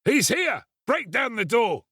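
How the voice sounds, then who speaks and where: A man's voice: very high voice, sing-song, measured pace, sharp tone, breathy edge, no echo, studio quality, voice pushed up, heavily acted. A man voicing a frantic, terrified young boy who screams shrilly for help, in an audiobook reading. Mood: screaming. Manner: frantic, desperate. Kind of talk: audiobook character voice.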